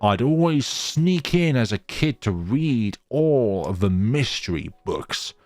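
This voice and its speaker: Man playing an awkward, animated young traveler. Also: medium pitch, takes it slowly, dry recording, faint music behind. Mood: eager, awkward. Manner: self-deprecating, chatty. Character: earnest, awkward, teasing young traveler, game protagonist.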